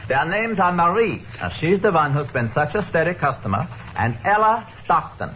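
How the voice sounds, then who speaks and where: Worried kindly deli owner, yiddish new york accent, high n swoopy, muffled old radio. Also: male voice, sing-song, moderate pace, fairly bright tone, a little hiss or hum. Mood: pleading, urgent. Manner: pleading, emphatic. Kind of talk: old-time radio acting.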